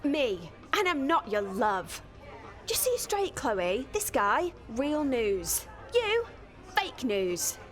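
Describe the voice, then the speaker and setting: High-voiced, feisty young English barmaid. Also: female voice, big pitch swings, slow, soft background music, a faint crowd, heavily acted. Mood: brusque. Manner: combative, sarcastic. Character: feisty, defiant young pub barmaid, sharp-tongued. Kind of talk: radio soap acting.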